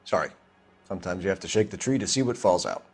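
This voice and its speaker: A stern 1940s detective. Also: male voice, low-pitched, expressive, fast, slightly rough, soft background music, full character voice. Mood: probing. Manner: formal, probing questioning. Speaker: police detective. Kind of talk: video game interrogation scene.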